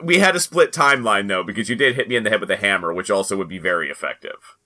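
A man's voice: lowish voice, fast, sharp tone. A man co-hosting a podcast in casual comedic banter. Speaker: podcast co-host.

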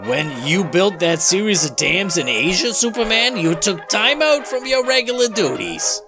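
A man's voice: sits high, very animated, moderate pace, music in the background. A man playing an earnest, formal United Nations official in a comedic comic-book reading, speaking in a stilted, declamatory way.

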